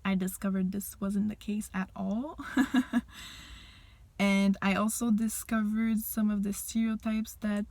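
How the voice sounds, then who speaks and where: A woman's voice: medium pitch, flat delivery, a little mellow, no echo. Young Quebecois woman, dry close sound. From Quebec, Canada. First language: French. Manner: candid, reflective, pausing mid-thought to rephrase. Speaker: vlogger. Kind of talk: casual personal chat to viewers.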